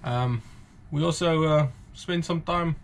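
A man's voice: a little high, takes it slowly, vocal fry. A man in his thirties talking casually about his boat, rambling a little with fillers, mostly outdoors on the water. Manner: rambling, relaxed, with many fillers. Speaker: sailing vlogger. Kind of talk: casual vlog narration. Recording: outdoor noise behind the voice.

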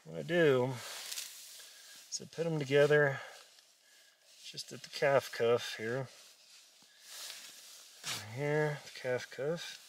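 Middle-aged male outdoor vlogger, slow and folksy, explaining routines while busy with gear; clearly noisy on-camera sound. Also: on the high side, fairly even intonation. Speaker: solo winter camping vlogger. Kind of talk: casual instructional vlog talk. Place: inside a small tent outdoors at night.

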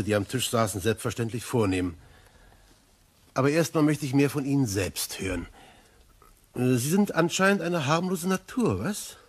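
A husky, brisk police inspector. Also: male voice, mid-range voice. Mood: matter-of-fact. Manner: matter-of-fact, authoritative. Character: brisk, probing, dry Scotland Yard police inspector. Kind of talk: German crime radio play acting.

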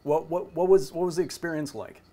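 A middle-aged man conducts a conversational interview as host over a video call. His voice sits a little high for a man. He phrases his questions haltingly, often repeating words as he goes. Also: steady tone, warm tone. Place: indoor room at home.

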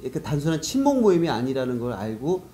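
Mellow-voiced man explaining in conversation. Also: medium pitch, sing-song, fast talker. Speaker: guest, business network organizer. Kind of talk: conversational interview answers, explanatory.

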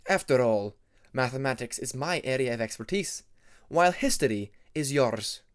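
A man's voice: medium pitch, lively intonation, moderate pace, smooth, natural voice. A man voicing a proud young male ice dragon who speaks formally, in an audiobook story. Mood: politely proud.

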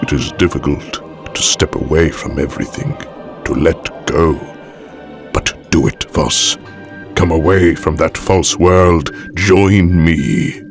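An extremely deep, slow-spoken old aristocratic villain in an audio drama. He is formal, calm and manipulative, with silky menace, over background music. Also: heavily acted. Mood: coaxing. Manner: measured, formal, silkily menacing.

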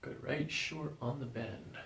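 A man's voice: mid-range voice, fairly even intonation, unhurried, creaky, bright, forceful tone, low-quality recording. A man in his thirties, a chiropractor, talking a patient through an exam in a reassuring, matter-of-fact way in a small office. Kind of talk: clinical exam talk, narrating findings to a patient.

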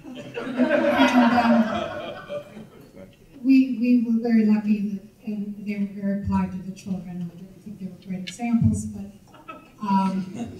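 An older woman tells her memories at a community meeting, rambling and chatty. Her voice is mellow and dark. She is picked up from a distance in a large, echoey banquet hall. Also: mid-range voice, highs cut off. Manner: chatty, rambling recollection with fillers. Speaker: audience member sharing memories. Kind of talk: recollecting at a public meeting.

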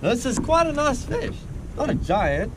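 A man's voice: extremely high pitch, sing-song, a little slow, very noisy. A young man with a South African accent casually commentating on his fishing in an informal, slangy way, outdoors on a kayak at sea. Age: young adult. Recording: loud music over the voice. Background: loud music.

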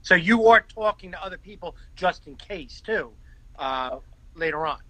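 A man's voice: high voice, animated, moderate pace, a bit bright, dry recording, poor audio. A middle-aged man hosting a casual talk show, asking his guest eager follow-up questions over a live webcam stream. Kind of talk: casual interview.

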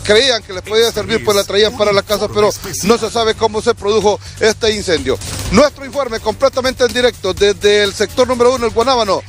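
Honduran man reporting live, high-pitched. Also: faint music behind. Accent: Honduran Spanish. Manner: urgent, restless, fast-talking with many fillers. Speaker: field reporter. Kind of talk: live on-scene news report. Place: outdoors at a burned house.

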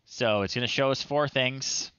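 A man's voice: medium pitch, moderate pace, pushed and bright, clear, resonant tone, dry recording, highs cut off. A middle-aged man casually commentating a live game stream, reading screen text aloud in a wry, off-the-cuff way, close on the mic. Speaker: retro game streamer, host. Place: small indoor room, livestream setup.

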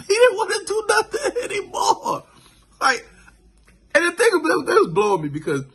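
A man in his thirties recapping a show on his vlog, laid-back and animated, from a small room indoors into a phone camera. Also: a little high, husky. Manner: laid-back, animated, lots of "you know what I'm saying". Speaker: vlogger. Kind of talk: casual reaction vlog.

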